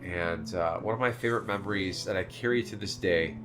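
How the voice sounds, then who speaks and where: Podcast host, male, nasal, lowish voice, casual rambling storyteller, faint guitar underneath. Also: brisk. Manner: rambling storytelling with many fillers. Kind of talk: casual chat.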